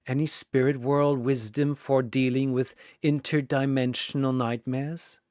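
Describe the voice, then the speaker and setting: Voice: mid-range voice, flat delivery, unhurried, a little mellow, dry recording, natural voice. A narrator voicing a suave male vampire with a dry, teasing, sardonic manner in an audio story.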